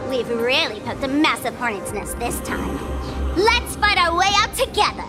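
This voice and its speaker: A woman's voice: sits high, big pitch swings, moderate pace. A woman voicing a mischievous, mocking young female trickster in an anime game, theatrical and playful. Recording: game music behind.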